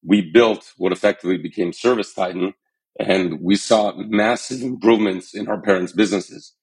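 Male founder in his thirties, deep voice, sincere and steady storyteller. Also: husky, poor audio. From Armenian, born in Iran, raised in the US. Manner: earnest and measured, some fillers. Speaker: startup founder and CEO, podcast guest. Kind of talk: interview storytelling.

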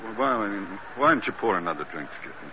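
Scrappy suspicious american sailor sidekick, slangy, pitch jumps around, muffled old radio show. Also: male voice, very animated, brisk. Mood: wary. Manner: slangy tough-guy talk, swinging from muttering to barking. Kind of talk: old-time radio adventure drama acting. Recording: muffled, faintly noisy old radio recording.

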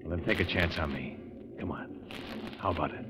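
An actor playing a wry, tough, clipped-talking investigator in an old-time radio detective drama. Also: male voice, deep voice, fairly quick, close to an everyday voice. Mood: wry bargaining. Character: wry, hard-boiled insurance investigator, male, tough and needling. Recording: dull, noisy old radio recording.

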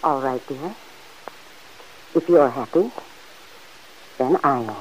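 An actress playing a gentle, worried older aunt in a 1940s radio drama, speaking softly and hesitantly. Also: female voice, rumbling low, takes it slowly, muffled recording, a little hiss or hum.

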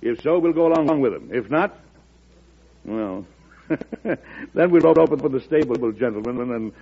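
An actor playing a shrewd, hot-tempered older president in a 1940s radio drama, emphatic and lecturing. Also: male voice, mid-range voice, lively intonation, a little slow, slightly rough. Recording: muffled, worn old radio recording.

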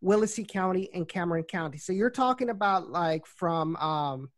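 A woman's voice: fairly low pitch, expressive, normal speed. A woman from the Rio Grande Valley in South Texas, a soccer reporter explaining things conversationally as an interview guest. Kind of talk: casual interview chat.

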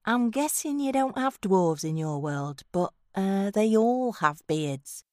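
A woman's voice: medium pitch, animated, slow pace, mellow, no room sound, clean studio sound. A woman playing a sardonic, impatient, no-nonsense adventurer in a fantasy audio drama, with blunt sarcasm. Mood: dry, wary.

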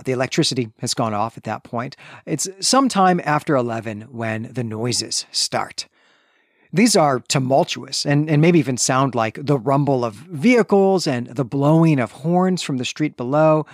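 A man's voice: mid-range voice, no echo. Male podcast host, mid-range voice, conversational, discursive, self-qualifying, dry close recording. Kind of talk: book discussion and story recap.

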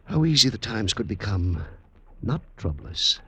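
A troubled Roman citizen in an old radio play. His voice is low and rough, swinging expressively as he ponders in stately, old-fashioned phrases. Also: sing-song, sharp tone, full character voice. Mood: earnest, persuading. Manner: stagey, deliberate archaic phrasing. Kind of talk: 1950s radio drama acting.

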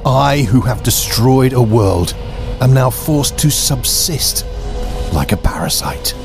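A man's voice: mid-range voice, expressive, slow, creaky, music in the background. A man playing a sinister humanoid alien villain in an audio drama, grandiose and formal in his diction, with a bitter edge.

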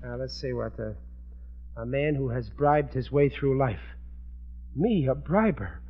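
A man's voice: medium pitch, lively intonation, unhurried. An actor playing an aggrieved, blustering middle-aged working-class husband and father in a 1940s radio sitcom, with plaintive, ungrammatical everyman speech. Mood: offended. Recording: dull vintage radio broadcast recording.

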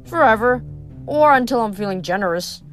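A woman's voice: on the high side, expressive, a little fast, a bit bright. A woman reading an audiobook voices a dry, greedy old male ferryman of the dead, with faint music behind. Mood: menacing. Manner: dry, sardonic, haggling.

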